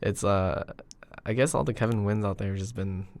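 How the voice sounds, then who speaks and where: A man's voice: lowish voice, brisk, a crowd in the background. A young man hosting a casual podcast, chatting in an informal, slangy, teasing way. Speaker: podcast host.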